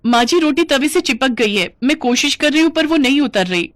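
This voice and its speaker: A woman's voice: sits high, expressive, fairly quick, dry recording, studio quality, voice pushed up. A woman voicing a scheming, jealous elder sister-in-law in a moral story, with a sly manner. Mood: panicked.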